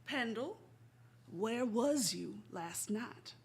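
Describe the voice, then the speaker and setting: A woman's voice: on the high side, expressive, drawn-out words, husky, heavily acted. A woman playing a brusque, folksy frontier saloon keeper in a Western radio drama. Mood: brisk.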